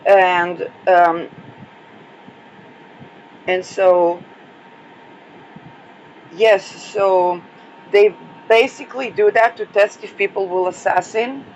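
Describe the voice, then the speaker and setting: Hoarse woman host, solo astrology talk, hesitant and rambling, light background noise. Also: mid-range voice, fairly even intonation, very slow, long pauses, muffled recording. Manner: hesitant, many fillers and restarts. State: losing her voice.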